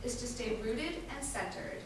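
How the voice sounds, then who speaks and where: A woman giving an earnest, emphatic dramatic reading of a first-person monologue, with deliberate pauses. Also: on the high side. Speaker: reader performing an activist's first-person testimony. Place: large indoor event space, distant microphone. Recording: echoey hall from far off, faint noise.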